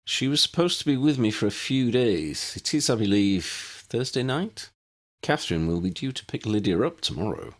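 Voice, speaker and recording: Guy doing polite formal uncle, gentle and wordy, a bit raised in pitch, storybook. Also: lively intonation, moderate pace, natural voice. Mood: concerned.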